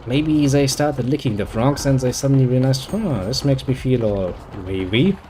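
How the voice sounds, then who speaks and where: A man's voice: medium pitch, animated, normal speed, dark tone, slight background noise. A man reading game dialogue aloud and adding dry, sarcastic jokes as he plays. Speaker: gameplay video host.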